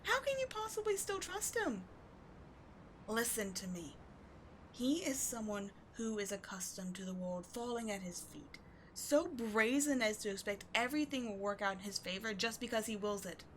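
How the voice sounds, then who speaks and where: A passionate, embittered villainess, acted by a woman in a dramatic audio roleplay. Her voice sits on the high side and she speaks with theatrical, emphatic intensity, devotion showing under the scorn. There is noticeable noise behind the voice. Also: lively intonation, normal speed, close to an everyday voice, low-quality recording. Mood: bitter, scornful. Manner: theatrical, intense, emphatic, with sighs and dropped whispers. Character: bitter, passionate female villain secretly devoted to a wounded sidekick.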